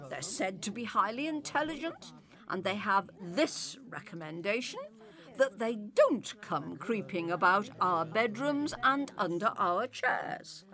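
Unsentimental English lady guest, played by a woman: crisp, commanding, highly varied intonation, audio play. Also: big pitch swings, measured pace, sharp tone. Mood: scornful. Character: brisk, unsentimental upper-class lady house guest.

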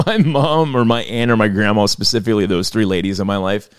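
Male podcast co-host, low-pitched, easygoing, describes himself as loud. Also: a little fast, smooth, no echo, studio quality. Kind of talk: casual podcast conversation.

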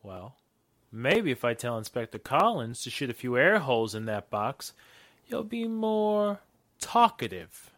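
A man playing a sharp, commanding hero in a 1940s style radio thriller, giving terse orders. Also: mid-range voice, big pitch swings, measured pace, a little hiss or hum. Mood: menacing. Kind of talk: 1940s radio drama acting.

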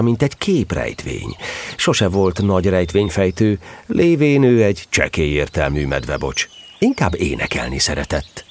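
A man with a husky voice narrating a scripted children's story for an audio drama. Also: deep voice.

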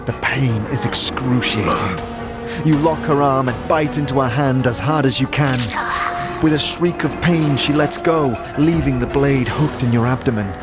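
A man's voice: mid-range voice, moderate pace. A man giving dramatic scripted narration as the storyteller of a fantasy tale. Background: prominent music.

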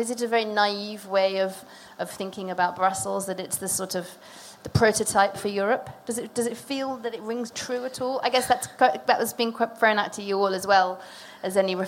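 A woman's voice: medium pitch, a little hiss or hum. A woman moderating a discussion, feeling her way through questions and rephrasing them in a tentative, searching manner.

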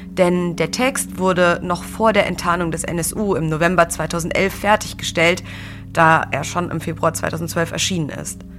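A woman in her early thirties hosting a discussion in an articulate, measured way. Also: fairly low pitch, a bit bright, slight background noise. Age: early 30s. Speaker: podcast host. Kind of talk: scripted narration and conversation about books and society.

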